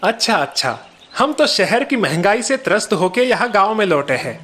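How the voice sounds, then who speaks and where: A man's voice: high-pitched, big pitch swings, moderate pace, a bit bright, faint music behind, pitched above the performer's own voice. A man voicing a shrewd, miserly Marwari bargainer in a cartoon story, hesitant and feigning sentimental reluctance. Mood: guarded.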